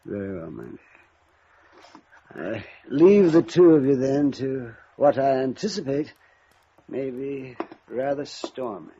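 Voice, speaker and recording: A man acting in vintage radio drama as a resigned, genteel elderly uncle, with a halting, mournful, courtly manner. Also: mid-range voice, lively intonation, warm tone, a little hiss or hum. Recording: muffled vintage radio recording.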